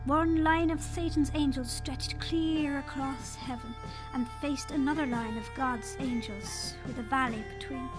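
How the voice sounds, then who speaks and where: A woman's voice: high-pitched, fairly even intonation, a little slow, few pauses, music in the background. A woman playing a droll little leprechaun king, telling his tale in folksy phrasing in an audio drama. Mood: wry storytelling. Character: leprechaun king, small old male storyteller, droll and wry.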